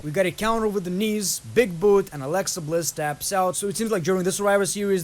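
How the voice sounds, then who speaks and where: Lithuanian-accented young man, slightly high pitch, blunt casual reaction host. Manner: blunt, keeps addressing viewers as "people".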